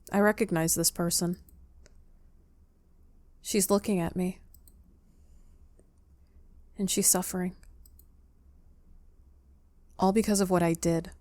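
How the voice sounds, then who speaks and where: A woman's voice: mid-range voice, darkish tone, no echo. A woman playing a visual novel and reading its lines aloud dramatically, as in a playthrough. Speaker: game player reading aloud.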